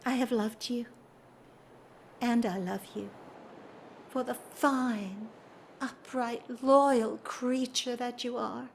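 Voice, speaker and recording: Woman acting as a wistful upper-class wife in an old-fashioned radio play, highish voice, slow and earnest. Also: fairly even intonation, gravelly, a little hiss or hum. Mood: tender, proud. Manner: formal, earnest, theatrical, measured delivery. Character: Lady Gertrude, wistful, dignified married society woman in love. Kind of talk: period audio drama acting.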